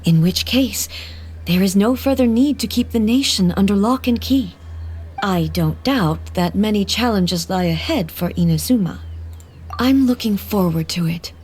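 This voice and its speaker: Regal game deity: solemn, formal, resolute, mid-pitched, measured delivery over faint music. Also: female voice, expressive, darkish tone, slightly rough. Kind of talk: video game character acting.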